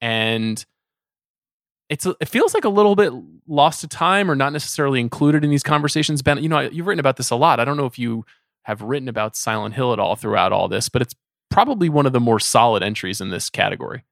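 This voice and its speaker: A man's voice: mid-range voice, animated, fast talker, creaky, a bit bright, no echo, clean studio sound. A man hosting a podcast, chatting casually about films and putting questions to his guests. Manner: conversational, asks the guests questions. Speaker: podcast host. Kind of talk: casual film discussion.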